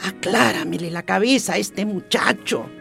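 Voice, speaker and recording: A woman's voice: medium pitch, normal speed, vocal fry, over music. An actress playing a protective, pious old grandmother in a radio drama, soothing and imploring in Latin American Spanish. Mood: worried, imploring.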